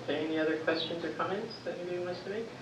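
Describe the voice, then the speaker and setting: A woman moderating a panel discussion, brisk and plain-spoken as she invites questions from the audience. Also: on the low side, slight background noise. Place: university lecture room. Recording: echoey hall from far off.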